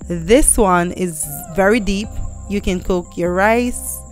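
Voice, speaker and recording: A woman's voice: mid-range voice, slow, faint music behind. A young woman vlogging from her home kitchen on a lapel mic, chatty and upbeat as she unboxes cookware and talks straight to her viewers. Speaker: lifestyle vlogger. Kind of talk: casual product unboxing. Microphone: clip-on lapel mic.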